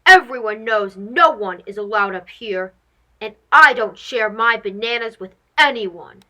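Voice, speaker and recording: A woman voicing a cranky, selfish old male monkey with a gruff, scolding manner in a children's storybook. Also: on the high side, lively intonation, a little slow, bright, forceful tone, pitched below the performer's own voice, full character voice, rough recording. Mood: stern, threatening.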